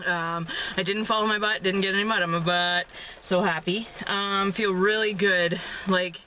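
A woman's voice: mid-range voice, pushed and bright, slight background noise, low-quality recording. A young woman in her twenties chatting casually to the camera from a parked car, upbeat and rambling, with lots of ums and little laughs. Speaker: fitness vlogger. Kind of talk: casual vlog talk to camera. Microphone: camera held at arm's length. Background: rain outside.

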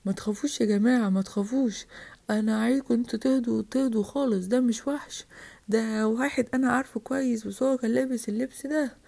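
A woman voicing a calm, reassuring man with an Egyptian accent in a children's story, repeating himself in a measured way. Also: a little high, vocal fry, voice pushed down.

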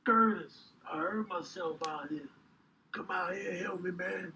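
A man's voice: high voice, a little slow, voice pushed down. A man voicing a streetwise animal in a comic clip, whining and goading in colloquial talk. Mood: whining, challenging. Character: loud streetwise male animal, whining and confrontational. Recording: noisy compilation clip audio.

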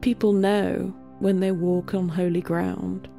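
A woman voicing a grouchy, dry story character who mutters in a deadpan way. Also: on the low side, takes it slowly, creaky, faint music behind. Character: grouchy, dry companion of the guide.

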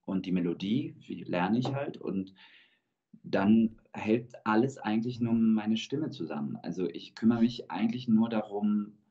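A man in his thirties, born in Georgia with Russian as his first language, speaking as a guest singer in a thoughtful, measured way over a video call. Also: fairly low pitch, warm tone. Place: indoor room with plain wall. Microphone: video call webcam. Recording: dull lo-fi video call audio.

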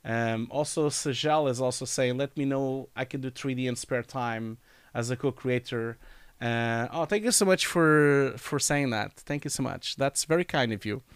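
A man's voice: mid-range voice, moderate pace, clear, resonant tone, no echo. A man in his forties with a nasal, scratchy cold voice, hosting a casual live Q&A from a home study and reading chat questions aloud with many fillers. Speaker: live stream host answering chat. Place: home study with bookshelves, indoors. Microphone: studio mic on boom, wearing headphones.

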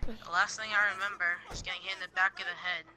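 A man's voice: sits high, steady tone, fast. A young man improvising game roleplay, repeating himself insistently. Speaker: gamer roleplaying online. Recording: faint background game noise.

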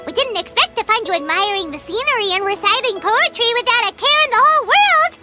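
A woman voicing a tiny, chirpy, childlike fairy companion in a video game, cheeky and squeaky. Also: lively intonation, fairly quick, loud music behind the voice. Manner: cheeky, squeaky, refers to herself by name. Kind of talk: video game cutscene dialogue.

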